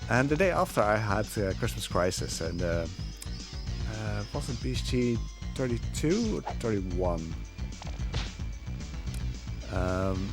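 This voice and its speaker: A man giving casual live speedrun commentary, hesitant and rambling as he plays. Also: fairly low pitch. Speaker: speedrunner commentating on own run. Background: game music.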